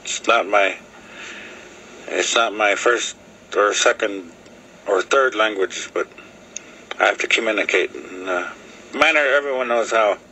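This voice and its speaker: A man whose first language is Lakota, talking casually to a video camera in halting English, often pausing with fillers as he searches for words. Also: on the low side, sharp tone, slight background noise, poor audio. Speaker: vlogger. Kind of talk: casual rambling talk to camera. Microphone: video camera mic.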